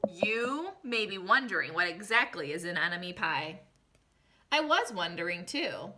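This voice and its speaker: A woman's voice: on the low side, big pitch swings, unhurried, bright, forceful tone, slight background noise. A woman teacher reading a children's picture book aloud expressively, speaking directly to young listeners and posing questions to them.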